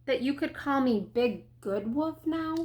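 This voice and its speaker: A woman's voice: high voice, sing-song, moderate pace, noisy background. A woman voicing a male storybook big bad wolf, wounded and eager to please, with an emotional delivery. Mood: gentle, shy. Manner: emotional, swinging from sweet pleading to howling.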